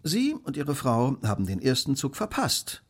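Radio play role: smug male detective genius, Victorian, analytical, rough-edged voice, highly varied intonation. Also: wildly swooping pitch, normal speed. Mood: smug, explanatory. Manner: precise, self-assured, lecturing. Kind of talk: German radio play acting.

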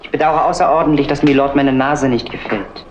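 Insolent butler type, male actor: formal, clipped, mid-pitched, roomy vintage film sound. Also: steady tone, a little fast, close to an everyday voice. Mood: icy politeness. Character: stiffly polite, quietly insolent manservant to a lord. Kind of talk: 1960s German crime film acting. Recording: echoey, dull, noisy old film soundtrack.

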